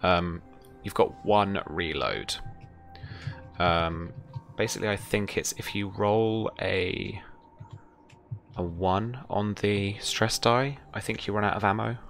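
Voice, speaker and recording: A young man runs a tabletop roleplaying session. His voice sits very deep, with a crisp, forceful edge and plenty of fry. Music plays behind him. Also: slow pace. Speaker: game master. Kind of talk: running a tabletop roleplaying game. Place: indoor room at home, video call. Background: background music.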